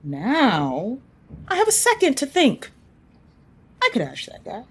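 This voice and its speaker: A woman's voice: fairly high pitch, wildly swooping pitch, takes it slowly, breathy edge, heavily acted. A woman playing a brash, generous woman with dangerous powers in an audio drama, speaking bluntly and informally with wry humor. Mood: frank, animated. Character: brash, generous woman who can turn threats to ash.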